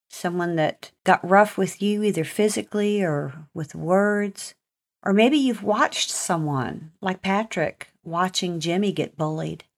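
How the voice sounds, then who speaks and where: An American woman hosting a life-lesson talk for children, speaking in an earnest, encouraging and emphatic way. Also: lowish voice. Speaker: author and segment host.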